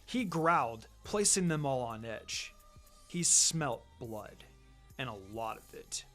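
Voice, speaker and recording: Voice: medium pitch, sing-song, slow, fairly bright tone, soft background music. A narrator reading fiction aloud in a dramatic, scripted storytelling style. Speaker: narrator, fan fiction reader.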